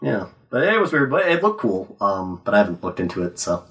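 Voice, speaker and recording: A young man co-hosting a podcast, his voice stuffed up and nasal from a cold. He talks in a laid-back, offhand way with a lively, swinging pitch, sitting a little back from the mic. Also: far from the mic. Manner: laid-back, swears casually, quick asides. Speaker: podcast co-host. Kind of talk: casual chat.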